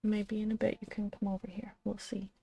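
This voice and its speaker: Soft-spoken woman with a gravelly fry. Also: mid-range voice, level tone, a little fast. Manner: soft-spoken, rambling, thinking aloud with many ums; gently scolds and coaxes a pet between remarks. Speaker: streamer and channel host. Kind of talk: casual game-stream chatter.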